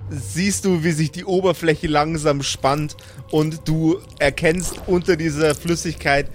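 A man's voice: fairly high pitch, fairly even intonation, unhurried, faint music behind. A man acting as game master, narrating an improvised tabletop game and bantering casually.

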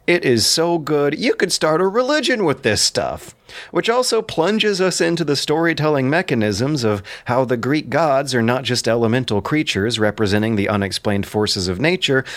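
A man's voice: medium pitch, no room sound. A man delivering scripted literary commentary as a host, in an erudite, emphatic and enthusiastic manner.

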